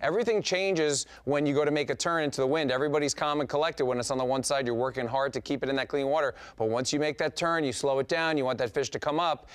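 A man's voice: medium pitch, quick pace, hardly any pauses, clean tone. A middle-aged man co-hosting a fishing show from a TV studio, casually explaining fishing technique in detail over the footage. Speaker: fishing show co-host, analyst. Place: TV studio set.

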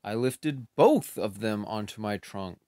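Man voicing a glum elephant storyteller; lively pitch, unhurried. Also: no room sound, studio quality. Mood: earnest. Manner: slow, dramatic storytelling with sing-song sounds. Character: gloomy elephant telling his tale. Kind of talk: picture book read-aloud.

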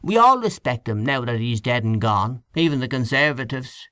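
A man's voice: medium pitch, expressive, normal speed, a bit bright, smooth, dry recording, clean studio sound, natural voice. A man voicing a genial, coaxing peacemaker in an audiobook reading.

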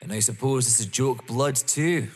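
A man acting in an audio drama as a dogged, stern police inspector, pointedly courteous, with a rough, husky voice. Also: medium pitch, moderate pace, a little mellow, slight background noise, close to an everyday voice. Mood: accusing. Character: dogged, stern male police inspector, dryly polite and accusing. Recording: long echoing hall reverb.

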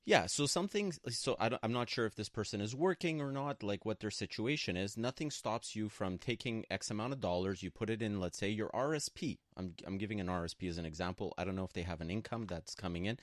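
Man, low voice, radio advice host, assertive, emphatic, conversational, dry close studio sound. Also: quick pace, hardly any pauses, dry recording. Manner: emphatic, assertive, repeats points for stress. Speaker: radio show co-host and broker.

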